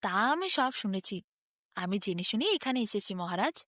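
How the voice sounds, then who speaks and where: A woman's voice: medium pitch, sing-song, a bit bright, no room sound, clean studio sound. A woman voicing a lazy but crafty barber spinning tall tales in a sly, boastful way. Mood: respectful, cunning. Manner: sly, boastful, drawn-out storytelling.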